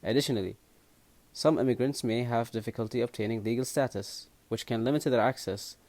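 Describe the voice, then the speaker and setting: A man's voice: medium pitch, a little fast, a little mellow, dry recording. A young man from Kuwait, a student presenting at a seminar, reading prepared points steadily.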